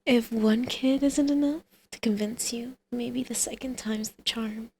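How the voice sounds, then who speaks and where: Woman playing a creaky-voiced, teasing, flirty villainess. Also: a little high, fairly even intonation, measured pace, mellow, slight background noise. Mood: seductive persuasion. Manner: intimate, teasing, often dropping to a breathy whisper. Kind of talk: ASMR roleplay.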